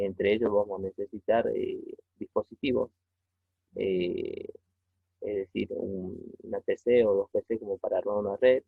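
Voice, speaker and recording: Through a tinny, phone-like recording, a man with a low, husky voice gives an informal lesson. He explains step by step as a teacher, pausing haltingly and checking in with short questions. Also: no echo. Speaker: technology teacher. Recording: tinny phone-like narrow-band audio.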